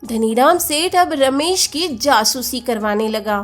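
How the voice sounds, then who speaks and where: Slow, high-pitched woman narrating a story. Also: animated, soft background music. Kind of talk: scripted moral-story narration.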